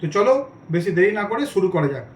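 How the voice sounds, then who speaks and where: A man hosting a step-by-step software tutorial in voice-over. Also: high voice, big pitch swings, a little fast, breathy edge. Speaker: tutorial host.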